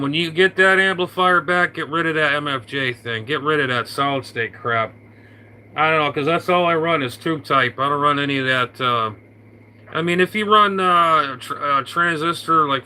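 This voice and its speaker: A man's voice: fairly high pitch, bright, forceful tone, a little hiss or hum, poor audio. A man in casual on-air radio chat, rambling with many fillers and restarts. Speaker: radio operator.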